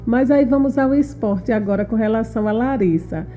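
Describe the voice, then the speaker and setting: A woman hosting an interview, asking quick questions and affirming her guest. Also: a little high, dark tone, faint music behind. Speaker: interview host, mother. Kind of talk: interview conversation.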